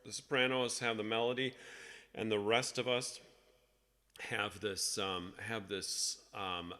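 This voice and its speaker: A man leading a choir rehearsal in a casual, halting, self-deprecating way, with a slightly crackly voice. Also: lowish voice, fairly even intonation, pushed and bright, long reverb tail. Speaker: choir director. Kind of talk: casual rehearsal instruction over a video call.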